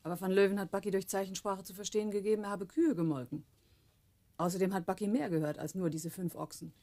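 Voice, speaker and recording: An actress in a German radio play playing a brisk, sharp-witted woman with a teasing manner. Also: medium pitch, lightly acted. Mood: sharp, wry.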